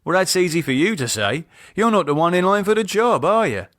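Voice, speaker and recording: A man's voice: high voice, very animated, brisk, a bit bright, no echo, studio quality, pitched above the performer's own voice. A man playing an uneasy, reluctant man with a dryly sarcastic, hesitant manner in audiobook dialogue. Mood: sardonic, anxious. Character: uneasy, sardonic adult man, wary and reluctant.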